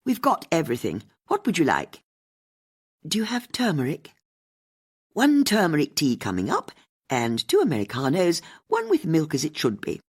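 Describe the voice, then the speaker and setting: A woman voicing a friendly young horsey upper-class woman with a cut-glass English accent, brisk, bright and open, in an audiobook. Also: deep voice, wildly swooping pitch, husky, voice pushed up, heavily acted. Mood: cheerful.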